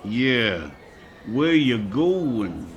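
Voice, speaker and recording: An actor playing a shady, laconic male racetrack tout in old radio comedy, clipped and deadpan. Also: mid-range voice, drawn-out words, muffled recording. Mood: deadpan, sly. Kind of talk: 1950s radio comedy acting. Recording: very noisy audio with clicks.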